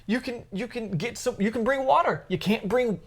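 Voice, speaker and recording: A woman's voice: fairly high pitch, normal speed, slight background noise. A woman co-hosting a podcast, chatting casually and giving opinions in an emphatic, teasing way. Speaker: podcast co-host.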